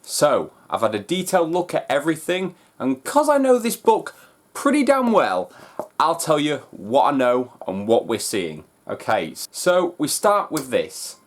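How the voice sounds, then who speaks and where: A man's voice: fairly high pitch, huge pitch swings, a little slow. A man hosting a fan reaction video, talking casually with enthusiastic, emphatic delivery. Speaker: host of a fan reaction channel. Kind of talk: excited trailer reaction and casual breakdown.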